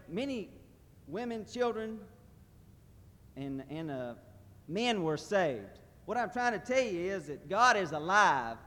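Folksy man preaching a testimony, high bright voice that swoops, long hall echo. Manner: folksy, animated, addresses crowd. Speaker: mission trip speaker. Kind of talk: church testimony. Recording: reverberant hall.

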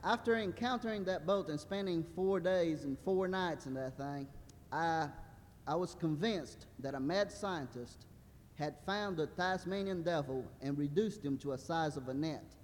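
Man in his thirties, halting wry testimony, slightly high voice, echoey hall with faint rumble. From Brazil. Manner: hesitant, many fillers, wry humor. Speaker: mission trip translator. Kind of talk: church testimony. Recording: reverberant hall with low rumble.

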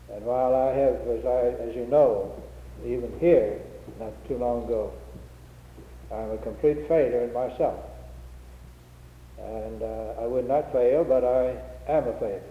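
A man preaching an unscripted sermon with a head cold, speaking in a humble, halting way with frequent restarts. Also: mid-range voice. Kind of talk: old-fashioned unscripted sermon. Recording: tinny lo-fi recording in an echoey hall.

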